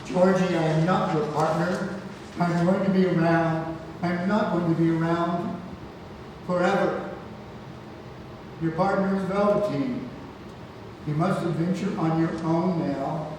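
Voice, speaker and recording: Stage grandfather, male actor: earnest, guiding, slightly high-pitched, in a heavily echoing room. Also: fairly even intonation, measured pace, long pauses, a little mellow, natural voice. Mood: firm, earnest. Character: protective grandfather playing a riddling golden idol. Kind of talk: stage play table read. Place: theatre room, recorded from far off. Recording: distant echoey hall, clear background noise.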